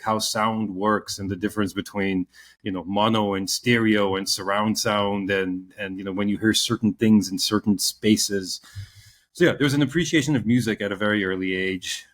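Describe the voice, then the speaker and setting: Guy from pakistan in his 40s, lowish voice, chatting about his life, kinda halting and reflective. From Pakistan, moved to the US around age ten. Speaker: podcast co-host telling his story. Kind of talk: casual personal storytelling.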